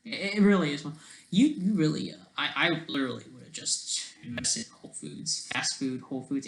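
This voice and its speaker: A man with a fairly high, very animated voice rambles casually through his own ideas. He stops and starts, trails off and tacks on little questioning checks. The recording is strongly echoey, like an untreated room. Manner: halting, trailing off and jumping between thoughts. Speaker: solo online video creator. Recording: echoey, roomy sound.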